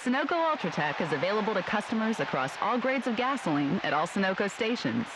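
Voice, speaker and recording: A woman's voice: mid-range voice, expressive, quick pace. A woman reading a scripted radio commercial as an announcer. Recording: radio ad with clear background noise.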